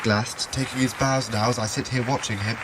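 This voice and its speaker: A man's voice: mid-range voice, steady tone, a little fast, background noise, close to an everyday voice. A man playing an earnest, wordy, slightly pompous live concert commentator in an audio drama. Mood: reverent. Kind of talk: hushed-to-excited live broadcast commentary.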